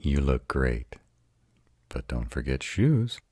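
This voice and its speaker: A man voicing a devoted, self-assured male vampire who patiently guides a newly turned mate in a scripted roleplay audio, speaking in a soothing, intimate, deliberate way. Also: big pitch swings, a little mellow, slight background noise, exaggerated voice. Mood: gentle, reassuring. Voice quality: very deep, husky.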